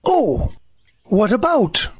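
A woman playing a naive, amiable, slow-witted male bear with an earnest, halting manner in a children's storybook audio drama. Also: mid-range voice, very animated, darkish tone, husky, dry recording, heavily acted. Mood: puzzled, friendly.

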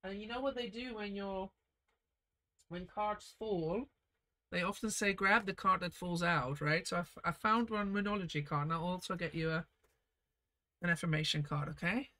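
A woman leading a casual live painting lesson, reading viewer comments and chatting warmly as she paints, often laughing softly and trailing off mid-sentence. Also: on the low side, normal speed, low-quality recording. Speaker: art teacher streaming a painting session.

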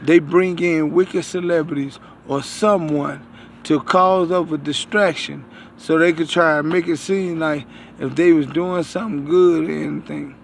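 A man in his thirties giving a rambling religious talk to viewers, halting and repeating his phrases, recorded on a phone outdoors. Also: fairly high pitch, fairly even intonation, creaky, slight background noise. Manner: repetitive, halting, circling back over phrases. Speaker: online preacher, self-styled prophet. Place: outdoors beside a building. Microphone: phone at arm's length.

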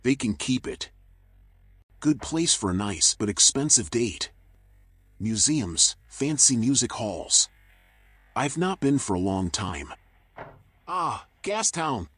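A man voicing a world-weary raccoon private eye in a noir game, wry, sardonic and deadpan. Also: mid-range voice, takes it slowly, long pauses, husky, no echo, heavily acted. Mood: wry, musing. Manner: dry, wry, deadpan muttering. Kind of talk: noir game voice acting, internal monologue and dialogue.

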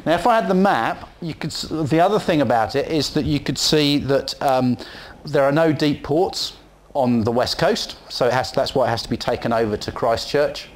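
A man's voice: fairly high pitch, normal speed. A man from England with a West Country accent, giving a rambling, chatty conference presentation in a hall. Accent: West Country English. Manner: chatty and rambling, with frequent ums and asides. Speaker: conference speaker.

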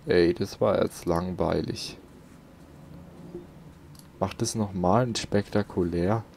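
A man's voice: low-pitched, takes it slowly, creaky, darkish tone, a little hiss or hum. A man giving casual gameplay commentary. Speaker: let's player. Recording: game music faintly behind. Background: faint video game music.

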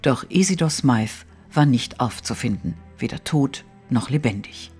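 A man narrating a detective audio play in a measured, literary, suspenseful way. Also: mid-range voice, lively intonation, darkish tone, slightly rough, faint music behind, exaggerated voice. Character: storytelling narrator of a mystery.

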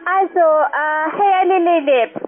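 A woman with a high voice makes a sales call over a tinny phone line. She is a non-native speaker with a foreign accent, talking like a call-centre agent. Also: takes it slowly, soft background music. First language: not German. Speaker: call-centre account manager.

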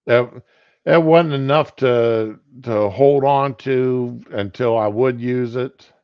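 Slow-talking man rambling on a call. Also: mid-range voice, clean tone, dry recording. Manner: slow, rambling, many fillers. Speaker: co-host. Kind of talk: casual livestream chat. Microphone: video call. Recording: dull lo-fi call audio.

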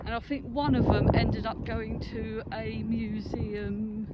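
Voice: mid-range voice, little pitch movement, slow pace, muffled recording. A British vlogger giving a casual, hesitant, rambling commentary while walking, recorded outdoors on a handheld phone. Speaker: urban exploration vlogger. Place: open derelict site outdoors. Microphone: handheld phone, no headset. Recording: noisy windy outdoor phone audio.

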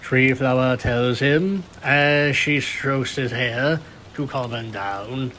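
A man's voice: mid-range voice, slow pace, pushed and bright, a little hiss or hum. A man reading scripted story narration as the narrator of a fan fiction tale.

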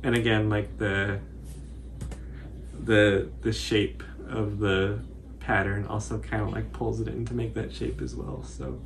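A man in his twenties giving a casual, informal tutorial commentary in a halting, hesitant way. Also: on the low side, slight background noise. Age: 20s. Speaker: cosplay tutorial presenter.

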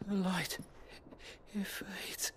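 Dying patient, frail and breathy, halting slow speech, faint ambience behind, fantasy game. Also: female voice, mid-range voice, little pitch movement, a little hiss or hum. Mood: frightened, fading. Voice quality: weak, breathy. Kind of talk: video game cutscene acting.

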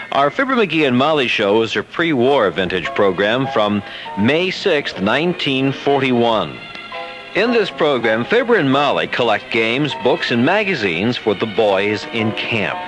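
A male radio host reads a scripted show introduction. His mid-pitched voice moves expressively, with music clearly playing behind him. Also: very animated, measured pace, low-quality recording.

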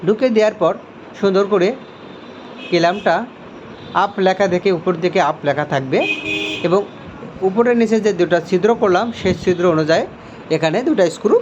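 A man's voice: sits high, lo-fi sound, noisy background. A man with a Bangladeshi accent giving a hands-on tutorial as an electrician, explaining step by step and repeating himself as he points things out.

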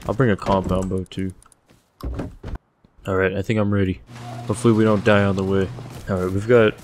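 A young man with a deep voice giving casual, joking commentary while playing a survival game, swearing often as he thinks aloud. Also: background noise.

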